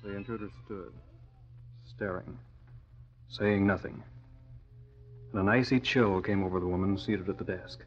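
Deep-voiced vintage radio narrator, male. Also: steady tone, a little slow, pauses often. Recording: muffled old radio recording.

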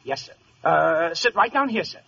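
A man's voice: on the high side, animated, normal speed, gravelly, pushed and bright, slightly rough, heavily acted. An actor playing a polite, hesitant young shoe salesman in an old radio comedy, always deferential. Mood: meek, hesitant. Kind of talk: 1940s radio comedy acting. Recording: muffled, faintly noisy old radio recording.

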